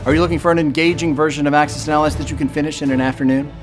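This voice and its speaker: A man's voice: on the high side, steady tone, rapid-fire, music in the background. A man hosting a board game channel, walking through the rules in a scripted, upbeat tutorial with jokey, self-deprecating asides. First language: English. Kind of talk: scripted how-to-play tutorial.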